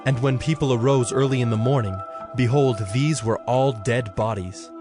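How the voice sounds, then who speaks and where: A man's voice: medium pitch, normal speed, over music. A man narrating a dramatized scripture reading in a formal, solemn manner.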